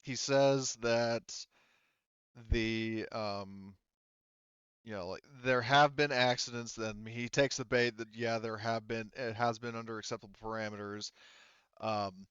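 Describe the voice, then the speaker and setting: A man acting as game master in a tabletop roleplaying session, narrating and explaining rules in a halting, circling way with many hedging asides. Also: medium pitch, steady tone, fairly bright tone, smooth, no room sound, muffled recording.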